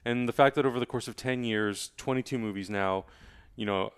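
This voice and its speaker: A man speaks as the host of a casual movie review show. His delivery is conversational and agreeable, with a medium-pitched voice. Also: a little fast, smooth.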